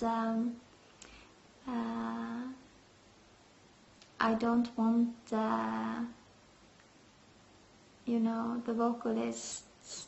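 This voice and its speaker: A woman vocalist answering interview questions, halting with many fillers. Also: on the high side, vocal fry. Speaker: metal band vocalist. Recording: roomy, dull lo-fi sound.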